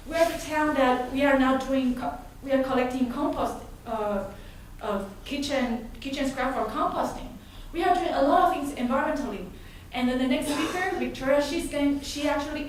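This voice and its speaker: A high-voiced woman from Argentina speaks at a public hearing, earnest and halting, often restarting mid-sentence. She is picked up from a distance in a very echoey hall. A light hiss sits under her voice. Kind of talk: public comment at a town meeting. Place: large echoey meeting hall. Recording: echoey hall, distant mic, some noise.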